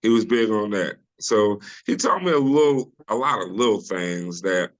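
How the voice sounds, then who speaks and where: A middle-aged man with a deep voice, a comedian guest talking casually and loosely in an interview over a video call, full of "you know" and restarts. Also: moderate pace. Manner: relaxed storyteller, many restarts and "you know".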